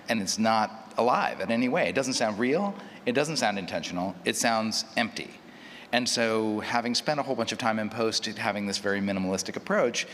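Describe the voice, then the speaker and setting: A man's voice: on the low side, a bit bright. A man speaking as a producer on a panel, explaining things through anecdotes in a live hall. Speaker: audio drama producer on a panel. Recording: echoey hall, clear ambient noise.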